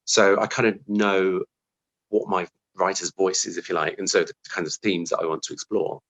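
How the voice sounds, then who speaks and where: A man's voice: deep voice, level tone, moderate pace, vocal fry, a bit bright. A man talking casually as an interview guest about his craft, thinking aloud and restarting his phrases as he goes.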